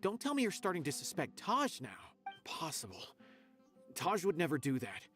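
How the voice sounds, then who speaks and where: An earnest young male officer in a video game, loyal and emphatic. His slightly high voice swings widely in pitch, over faint background music. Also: huge pitch swings, moderate pace, a bit bright. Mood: defensive.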